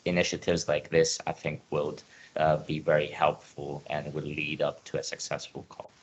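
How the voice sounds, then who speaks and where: Very deep, flat-voiced man on a panel. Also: normal speed, slightly rough, poor audio. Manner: steady, with frequent um and uh. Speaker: panel guest, company representative. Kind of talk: panel discussion.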